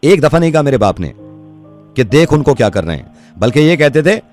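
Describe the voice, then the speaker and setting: A man's voice: fairly high pitch, big pitch swings, moderate pace, darkish tone, soft background music. A male radio host with a Delhi Hindi accent, talking earnestly and persuasively to a caller on air. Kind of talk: on-air phone conversation.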